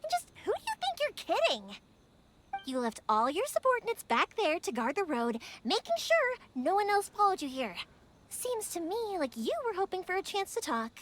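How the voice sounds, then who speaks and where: A woman's voice: extremely high pitch, huge pitch swings, moderate pace, a bit bright, a little hiss or hum, heavily acted. A woman voicing a spirited, bold young woman who makes fireworks, in video game dialogue. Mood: determined. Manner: bold, bubbly, insistent.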